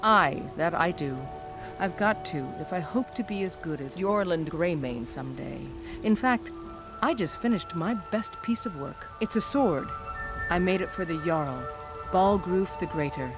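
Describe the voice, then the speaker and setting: A woman's voice: on the low side, animated, a little slow. An actress playing a modest, hardworking woman blacksmith in a fantasy game, earnest and plainspoken, with music behind.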